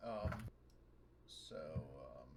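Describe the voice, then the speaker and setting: A man playing a tabletop roleplaying game, talking casually and hesitantly with frequent pauses. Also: very deep voice, animated. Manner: hesitant, pausing often. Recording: clearly noisy microphone.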